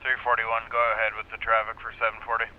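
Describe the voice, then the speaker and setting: A man's voice: fairly low pitch, monotone, rapid-fire. A man playing a police officer, giving terse traffic updates over a radio. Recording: dull noisy radio transmission.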